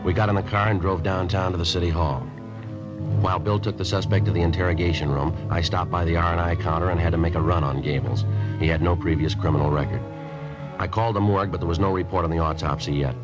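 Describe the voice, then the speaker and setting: An American actor playing a terse, deadpan male police detective in an old-time radio crime drama. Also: low-pitched, quick pace, lightly acted. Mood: matter-of-fact. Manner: deadpan, matter-of-fact, terse. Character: clipped, unflappable male police detective narrating his case. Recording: dull old radio recording, music behind. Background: music.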